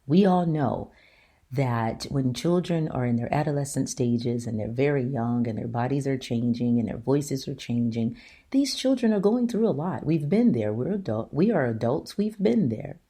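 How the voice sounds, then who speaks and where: A middle-aged woman with a deep voice hosting her own talk show, speaking earnestly and emphatically to her listeners. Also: expressive, moderate pace, mellow, slightly rough. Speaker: show host. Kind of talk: solo opinion talk.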